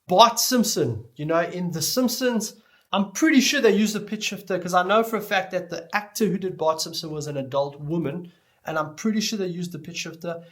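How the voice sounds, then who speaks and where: A man giving an explanatory tutorial lecture as an instructor, speaking deliberately and stressing key words as he goes. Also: high voice, a bit bright. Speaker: music production instructor.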